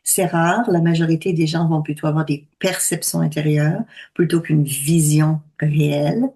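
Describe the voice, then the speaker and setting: Lady in her 60s, slightly low voice, calm steady explaining, takes her time. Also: normal speed. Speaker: medium. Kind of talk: conversational talk.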